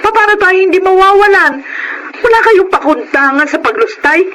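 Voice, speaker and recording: High-pitched, exasperated Filipino mother. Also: lively intonation, normal speed, sharp tone, a little hiss or hum, exaggerated voice, rough recording. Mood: scolding, exasperated. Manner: scolding, emphatic, fretful. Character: exasperated middle-aged mother worrying over squandered lottery fortune. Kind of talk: Filipino radio drama acting.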